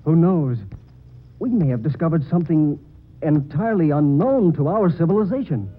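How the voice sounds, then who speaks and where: A man's voice: a little high, expressive, normal speed, narrow, tinny audio, close to an everyday voice. Male actor as confident mystery investigator, articulate, theatrical, vintage radio play, thin hissy audio. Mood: intrigued. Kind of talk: old-time radio drama acting. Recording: thin, noisy old radio recording.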